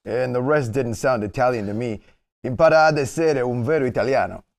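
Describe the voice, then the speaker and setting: A man's voice: medium pitch, brisk, vocal fry, natural voice. A man at a comedy table read playing a mocking, tough mob henchman. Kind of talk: table reading of a comedy script.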